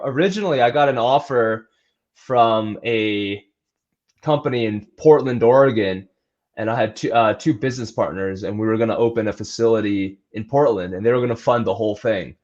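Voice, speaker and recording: A man's voice: medium pitch, normal speed, highs cut off. A man speaking as a trainer and coach in a casual interview, in an earnest, conversational way. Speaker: athletic trainer and strength coach being interviewed.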